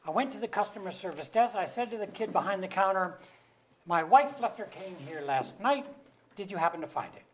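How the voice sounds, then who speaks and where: A woman's voice: mid-range voice, animated, a little fast, a little hiss or hum, close to an everyday voice. A woman playing a droll, grumbling husband nearing sixty in a staged play reading, telling wry anecdotes.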